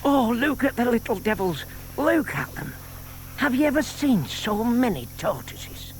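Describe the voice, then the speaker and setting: Older male lead: gentle, excitable bachelor, high-pitched and very animated, courteous, slight noise behind, children's audio play. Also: measured pace, heavily acted. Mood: delighted. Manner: muttering to himself, courteous. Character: gentle, excitable retired bachelor hatching a plan.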